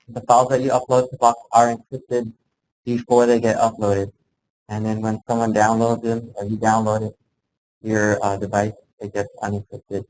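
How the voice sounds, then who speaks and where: Flat-toned man giving a software training. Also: on the low side, slight background noise, low-quality recording. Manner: plain step-by-step explaining. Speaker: presenter of a workplace software training. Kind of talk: informal instructional presentation to a group.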